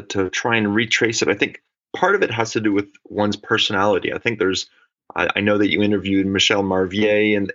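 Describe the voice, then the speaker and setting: Middle-aged ecologist guest, low voice, reflective and measured, dull lo-fi recording. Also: male voice, fairly bright tone. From California. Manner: thoughtful, measured, with restarts. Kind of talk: conversational podcast interview. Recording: dull lo-fi audio.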